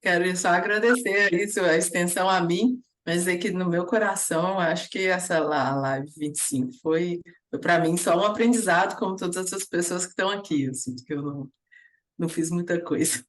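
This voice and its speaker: A woman joins a live stream and speaks in a modest, heartfelt way. Her voice sits low for a woman. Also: normal speed. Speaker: guest on a live talk. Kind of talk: casual remarks on a live stream.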